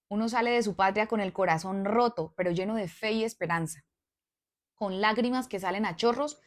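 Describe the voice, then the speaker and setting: A woman with a mid-range voice reads a follower's story aloud. Her reading is expressive, and she breaks off now and then for casual asides to her followers. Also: medium pitch.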